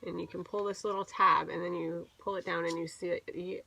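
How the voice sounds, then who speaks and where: A woman's voice: lowish voice, flat delivery, a little fast, creaky, sharp tone, no room sound, rough recording. A woman teacher reading a picture book aloud to young children, expressively and with little explanatory asides.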